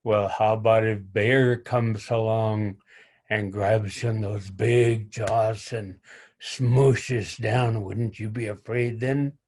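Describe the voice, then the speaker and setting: A man's voice: lowish voice, flat delivery, slow, a little mellow. A man voicing a sly male coyote who needles his prey with teasing questions in a told folk tale. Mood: taunting. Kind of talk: oral storytelling.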